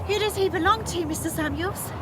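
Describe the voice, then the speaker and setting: Genteel young lady, very high voice, anxious, determined, formally polite, period audio drama with clear outdoor noise behind. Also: fairly quick. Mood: concerned.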